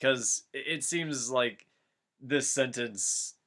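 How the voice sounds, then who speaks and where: A man's voice: medium pitch, slow pace, fairly bright tone. A man doing a comic read-aloud of a story, breaking off into casual banter. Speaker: reader of a fan-fiction dramatic reading.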